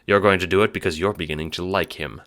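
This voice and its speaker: A man's voice: low voice, fairly even intonation, fast, a bit bright. A man narrating a scripted audio drama in second person, with a wry, dramatic storytelling manner.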